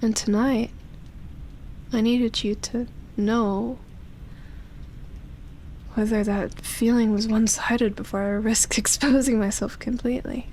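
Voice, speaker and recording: Slow, seductive vampire woman, acted by a woman. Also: a little high, steady tone, a little hiss or hum, low-quality recording. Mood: earnest, possessive. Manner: breathy teasing, soft sighs and chuckles, whispered phrases. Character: seductive, obsessive yet tender immortal female vampire. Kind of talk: intimate roleplay ASMR audio drama.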